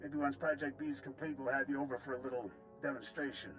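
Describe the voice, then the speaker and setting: An actor playing a breezy, folksy military gunnery officer in a video game, rattling off technical jargon. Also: male voice, mid-range voice, steady tone, fast talker, fairly bright tone, lightly acted. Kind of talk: video game cutscene acting. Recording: game soundtrack music behind.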